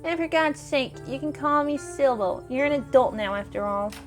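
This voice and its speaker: A woman performs a kindly older spiritualist lady from a small-town mystery game. Her voice is high, genteel and folksy. She is motherly and chatty, and soft music plays underneath. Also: moderate pace, close to an everyday voice. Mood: warm, welcoming. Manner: genteel, folksy, fond endearments.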